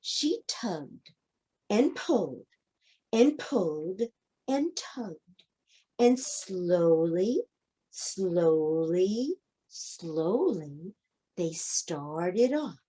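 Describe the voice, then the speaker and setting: A woman reading a storybook to young children, expressive and deliberate, with dramatic emphasis. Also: on the low side, husky, low-quality recording. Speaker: children's book reader.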